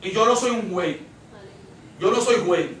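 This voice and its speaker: A Puerto Rican man delivers an emphatic sermon in a high voice. He repeats his phrases and throws questions out to the congregation. The sound is roomy and echoing, picked up some way from the microphone. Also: animated, moderate pace, a bit bright, a little hiss or hum. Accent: Puerto Rican Spanish. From Puerto Rico. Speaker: preacher. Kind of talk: Pentecostal Bible-study sermon. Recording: roomy echo, distant microphone.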